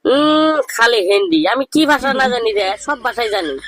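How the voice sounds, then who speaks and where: High-pitched boastful cartoon village lad acted by a man. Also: expressive, normal speed, a bit bright, slight background noise. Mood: boastful, teasing. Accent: rural Bangladeshi. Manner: bragging, teasing, declamatory. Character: boastful cheeky young village man, know-it-all joker. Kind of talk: comedy cartoon dialogue.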